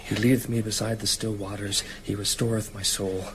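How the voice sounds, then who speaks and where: An uneasy male writer played by an actor in a horror radio play. His voice is fairly low and halting, with clear noise behind it. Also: little pitch movement, natural voice. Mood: fearful, praying. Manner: hesitant, halting. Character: uneasy writer caught up in a vampire hunt. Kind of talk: naturalistic radio drama acting.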